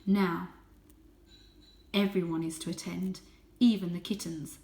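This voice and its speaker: A woman voicing a wise old grandfather cat, the quiet head of his family, with a measured, authoritative manner. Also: lowish voice, fairly even intonation, recorded from a distance. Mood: commanding. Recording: echoey room.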